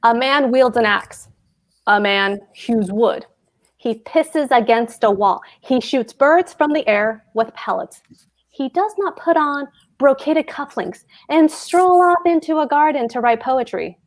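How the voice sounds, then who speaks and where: A pompous government clerk played by a woman lays down the rules of duty in a higher-pitched voice. The delivery is emphatic and declamatory, as in a stage play read aloud. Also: lively intonation, a little slow. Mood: lecturing, scornful. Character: pompous, dutiful male government clerk and husband.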